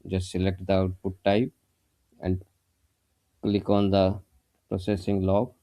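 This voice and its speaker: A man narrating a step-by-step software tutorial in a plain, methodical, explanatory way. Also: sits low, completely flat delivery, takes it slowly, no echo. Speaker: software instructor.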